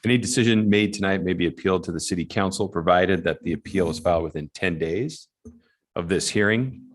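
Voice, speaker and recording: A man with a low voice chairs a public meeting. He goes through formal procedure and agenda items in a deliberate, official way. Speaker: commission chair running a public hearing.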